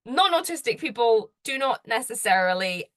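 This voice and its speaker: A woman's voice: on the high side, big pitch swings, moderate pace, no room sound, studio quality. A woman presenting slides, talking earnestly in a conversational way and putting questions straight to the listener. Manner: gets louder when enthusiastic. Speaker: presenter giving advice.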